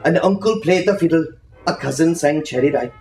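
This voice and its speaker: A man giving an expressive, lyrical and unhurried reading of a literary story aloud to listeners. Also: on the high side. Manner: dramatic, lyrical, unhurried delivery. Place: indoor reading in a bookshop. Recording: roomy echo, faint music behind.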